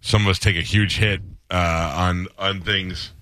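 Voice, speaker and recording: A man's voice: low-pitched, fairly even intonation, a little slow, a bit bright, no room sound. A man hosting a radio call-in show, teasing callers with brash casual banter into a studio microphone.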